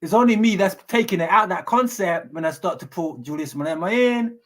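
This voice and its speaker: A man's voice: sits high, lively intonation, brisk, fairly bright tone. A man talks as a guest in a political debate. He is earnest and casual, stacking up repeated phrases to make his point. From Kenya.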